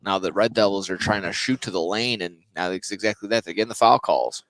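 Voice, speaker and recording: A man's voice: low voice, quick pace, a bit bright, no room sound. A man doing live play-by-play radio commentary of a basketball game from inside a gymnasium. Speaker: play-by-play sports announcer. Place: indoor gymnasium during a game. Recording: noisy gym broadcast, bouncing ball. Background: game noise, basketball bouncing.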